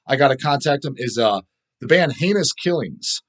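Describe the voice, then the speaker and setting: A man with a mid-pitched voice talks casually as the host of his own video show. He is blunt, opinionated and heavily profane. His delivery rambles, and he repeats words to drive a point home. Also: lively intonation, moderate pace, fairly bright tone. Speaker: host of a video show about underground death metal. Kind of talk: casual rambling opinion vlog, answering viewer comments.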